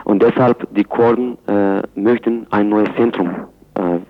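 A man answering in a radio interview, speaking haltingly in phrasing. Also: fairly low pitch, fairly even intonation. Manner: halting, non-native phrasing. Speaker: interviewee, chairman of a Kurdish community centre. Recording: dull, lo-fi radio recording.

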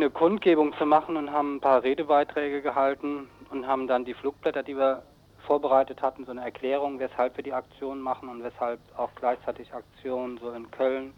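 Man, mid pitch, activist interviewee, matter-of-fact, explanatory, informal, slightly muffled radio recording.

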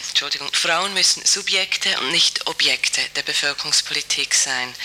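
A woman's voice: deep voice, bright, forceful tone, low-quality recording. A woman explaining political arguments in an interview, emphatic and opinionated, on a hissy tape. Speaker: interviewee from an activist women's group.

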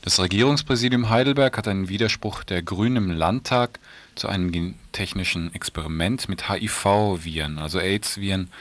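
A man's voice: low voice, a bit bright. A man presenting radio news, reading introductions and putting questions to a guest.

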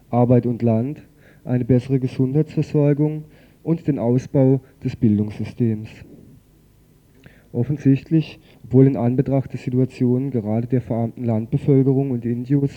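A man's voice: mid-range voice, phone-quality sound. A man reading a scripted radio news report as presenter.

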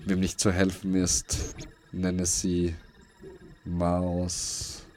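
A man reading scripted literary narration for a post-apocalyptic radio play, in deliberate, fragmented phrases that repeat words. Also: sits low, monotone, background noise.

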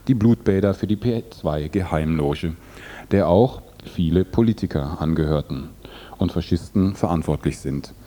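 A man's voice: low-pitched, dark tone. A man reading scripted news and translated interview statements as a radio presenter.

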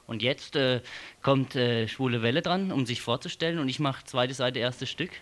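A man's voice: mid-range voice, normal speed, fairly bright tone. A man casually announcing music and upcoming segments live on the radio.

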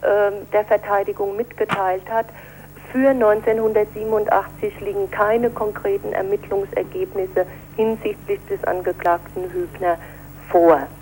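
A woman's voice: medium pitch, fairly even intonation, darkish tone, low-quality recording. Woman lawyer answering interview questions, formal and explanatory, bit of hum and noise behind her. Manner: measured, explanatory, formal legal wording. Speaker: defence lawyer being interviewed.

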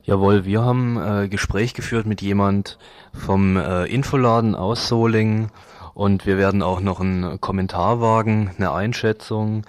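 Male radio news presenter, lowish voice, really dry close sound. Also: fairly even intonation, moderate pace, dry recording.

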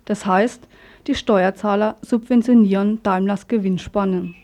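A woman presents scripted radio news and commentary. Her voice is mellow and soft-edged, set at a middle pitch. She reads in a pointed, ironic way. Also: fairly even intonation, unhurried.

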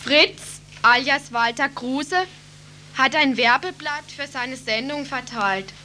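A woman with a high voice speaks on a live studio tape. There is some background noise around her, and she talks as an activist on air. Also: slow, sharp tone.

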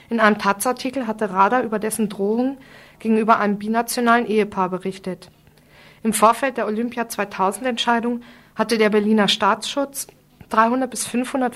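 A woman reading scripted short news items on the radio. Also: on the high side.

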